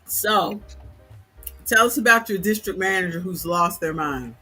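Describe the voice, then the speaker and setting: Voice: mid-range voice, unhurried, bright, forceful tone, breathy edge, faint music behind. A middle-aged co-host with a slight Southern accent, steering a casual live chat with short prompts. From New York, then the US South. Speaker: livestream co-host.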